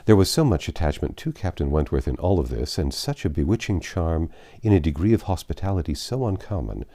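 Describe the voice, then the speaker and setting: Male narrator, low voice, lively intonation, measured expressive delivery, literary audiobook reading.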